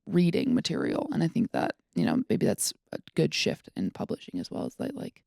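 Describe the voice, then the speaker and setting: A woman's voice: rumbling low, wildly swooping pitch, brisk, gravelly, darkish tone, no echo, clean studio sound. A woman in her twenties chatting casually about books as a podcast co-host, in an informal way peppered with "like". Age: 20s.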